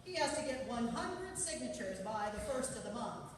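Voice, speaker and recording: A woman's voice: a little high, very animated, normal speed. A woman playing a sensible, dryly teasing wife in a stage radio play. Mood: friendly. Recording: echoey hall, far from mic.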